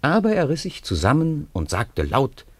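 A man's voice: on the high side, huge pitch swings, moderate pace, husky, no room sound. A man narrating a scripted story for a radio play.

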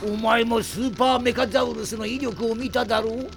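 An actor playing a gleeful old mad scientist in a cartoon audio drama, gloating and ranting theatrically in old-fashioned villain speech. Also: male voice, very high voice, fairly even intonation, fairly quick, gravelly, very noisy. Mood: smug.

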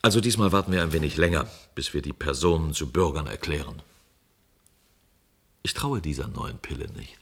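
An actor playing a curt, commanding and suspicious district deputy in a German science-fiction radio play. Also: male voice, extremely low pitch, normal speed, husky, heavily acted. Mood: suspicious, dismissive. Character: imperious, distrustful male district official, a dystopian deputy.

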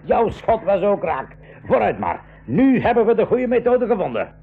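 Excitable creaky-voiced professor, wildly swooping. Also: male voice, high voice, moderate pace, full character voice. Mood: worried. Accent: Flemish. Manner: theatrical, exclamatory. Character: excitable, anxious professor and uncle on an adventure. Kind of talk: radio play acting. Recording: muffled 1980s school radio recording.

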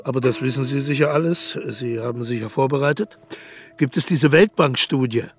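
A man's voice: mid-range voice, fairly quick, lightly acted. An actor playing a boastful, defiant aging male dictator in a radio play, talking in a grandiose, indignant, lecturing manner. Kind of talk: radio drama acting.

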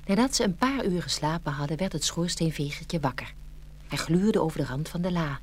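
Woman reading a fairy tale, deep husky voice, expressive storyteller style. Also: a little fast. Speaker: narrator.

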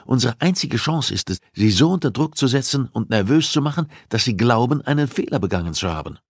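A man voicing a cold, commanding criminal profiler in an audiobook, arguing with deliberate insistence. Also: mid-range voice, lively intonation, slightly rough, no echo, clean studio sound, voice pushed up. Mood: commanding, urgent. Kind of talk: audiobook dialogue acting.

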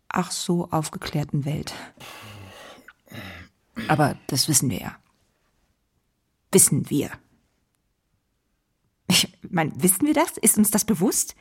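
A woman's voice: fairly low pitch, darkish tone, husky, full character voice. A woman playing an anguished, guilt-ridden, agitated activist in a theatrical monologue, exclamatory and repetitive. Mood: incredulous. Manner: exclamatory, repetitive, swinging between whisper and outburst.

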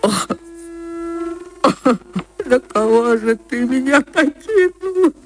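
A woman voicing a tearful provincial widow in a literary radio reading, lamenting in a drawn-out wail. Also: high-pitched, lively intonation, pitched above the performer's own voice. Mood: grief-stricken wailing.

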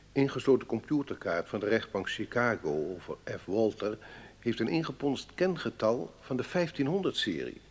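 Resigned court clerk, radio play, husky, medium pitch, informal, chummy. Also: male voice. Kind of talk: radio play, informal memo read aloud.

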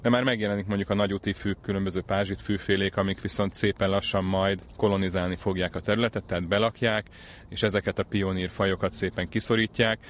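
A man explaining things as a conservation specialist in an outdoor interview, measured and precise. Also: deep voice, flat delivery, slight background noise. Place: outdoors in a wetland reserve.

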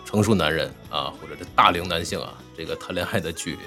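A man's voice: low-pitched, fast, bright, forceful tone. A man who works as a voice actor chatting casually as a guest, often hesitating and repeating his words. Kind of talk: casual panel chat. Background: background music.

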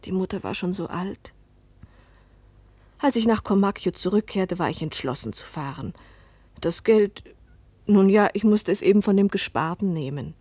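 A husky, wistful middle-aged mother. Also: mid-range voice, expressive, moderate pace, pauses often, dark tone, no room sound. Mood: wistful, subdued. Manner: restrained, wistful, intimate storytelling. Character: weary, hopeful Italian mother narrating her life's disappointments. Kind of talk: radio drama first-person narration and dialogue.

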